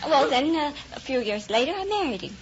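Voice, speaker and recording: A woman's voice: sits high, big pitch swings, fairly quick. An American woman from Kansas chatting good-humouredly as a radio quiz contestant, explaining things a little hesitantly. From Kansas, USA. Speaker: quiz show contestant, chinchilla rancher. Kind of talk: unscripted-sounding interview chat on radio quiz. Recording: dull noisy old radio recording, studio audience.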